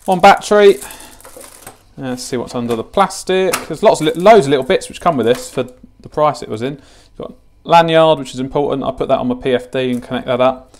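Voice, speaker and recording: A man's voice: medium pitch, a little fast, slight background noise. A British man in his twenties with a chatty, informal, matter-of-fact way of talking, hosting a casual product unboxing and tutorial. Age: 20s. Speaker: fishing channel host demonstrating gear. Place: home kitchen, indoors.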